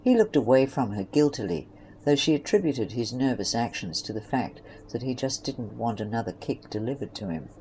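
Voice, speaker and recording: A woman's voice: very deep voice, lively intonation, normal speed, warm tone, slight background noise, poor audio. A woman reading scripted narration for an audio drama story.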